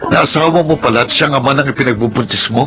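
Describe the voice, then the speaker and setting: A man's voice: mid-range voice, quick pace, music in the background, lightly acted. A man acting an indignant, principled friend in a radio drama. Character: indignant, principled friend refusing to join a deceit.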